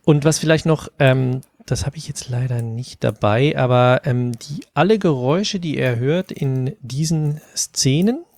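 A man speaking casually in a group discussion as an audio drama producer, haltingly searching for words. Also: mid-range voice, darkish tone, no room sound.

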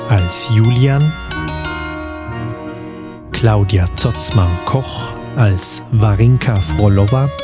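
Slow male announcer over guitar music. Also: on the low side. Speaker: announcer reading end credits. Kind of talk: scripted narration, radio play credits. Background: plucked guitar music.

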